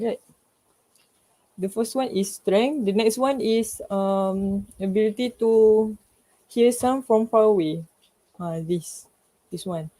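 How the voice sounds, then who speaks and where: A woman with a Malaysian accent giving informal feedback to a class, haltingly and often searching for words. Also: mid-range voice, dark tone, poor audio.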